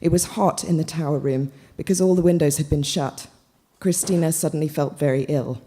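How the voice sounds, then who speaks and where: Mellow, low-voiced woman reading literature aloud. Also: brisk. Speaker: reader at a literary event.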